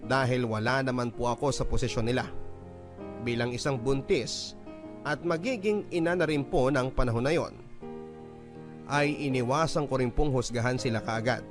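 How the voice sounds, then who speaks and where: A man reads a listener's letter in a calm, steady storytelling manner. His voice sits in the middle range, and clear music runs underneath his words. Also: medium pitch, normal speed. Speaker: radio drama narrator. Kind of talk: scripted letter narration. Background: clear background music.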